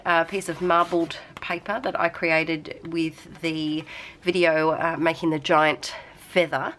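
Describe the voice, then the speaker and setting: A woman's voice: lowish voice, fairly bright tone. A young woman with a slight cold, chatting casually and rambling through a craft tutorial at her desk, with lots of fillers. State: coming down with a cold. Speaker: craft channel host.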